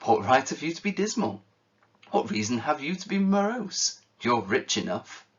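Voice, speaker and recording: Cheerful, eager young man, played by a low-voiced man stretching up high, dull lo-fi recording. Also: a little slow, sharp tone.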